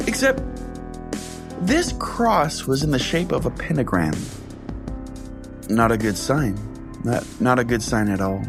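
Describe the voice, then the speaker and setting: A man hosting a comedy podcast, narrating a scripted first-person tale in a theatrical, earnest storytelling way. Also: medium pitch. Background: music bed under the voice.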